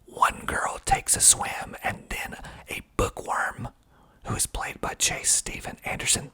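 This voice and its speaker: A man's voice: a little slow, sharp tone. A man whispering breathily in an ASMR style as he reads aloud, adding wry, understated asides. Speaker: filmmaker and actor reading reviews of own film.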